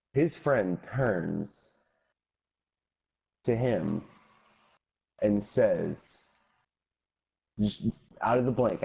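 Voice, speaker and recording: A man's voice: lowish voice, gravelly, mellow, breathy edge. A young man casually telling an anecdote as a guest storyteller, on dull lo-fi audio.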